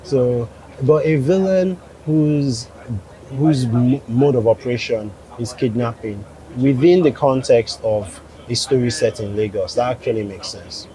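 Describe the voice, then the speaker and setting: A man with a Nigerian accent giving interview answers as a producer, explaining in a measured way and rephrasing as he goes. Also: medium pitch, a crowd in the background, poor audio. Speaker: animation producer.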